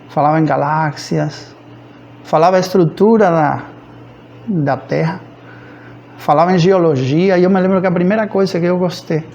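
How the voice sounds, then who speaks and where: Higher-voiced Cuban man, gravelly and hesitant. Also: darkish tone, muffled recording. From rural central Cuba. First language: Spanish. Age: around 50. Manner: hesitant, searching for words with frequent pauses. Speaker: physics professor. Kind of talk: informal autobiographical account.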